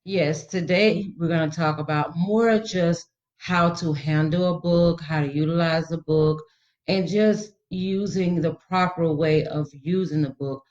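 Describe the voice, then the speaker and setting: A woman's voice: low-pitched, fairly even intonation, a little slow, darkish tone. A woman guest educator giving informal, instructive tips to parents in reassuring, colloquial language. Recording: slightly dull, lo-fi audio.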